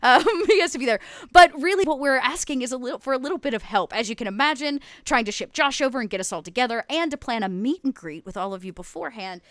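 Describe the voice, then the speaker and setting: Female streamer, high smooth voice, dry close sound, playful announcement delivery. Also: expressive, fast talker, few pauses, a bit bright, no room sound. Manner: chatty, playful. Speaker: cast member making announcements. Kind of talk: casual live stream announcement.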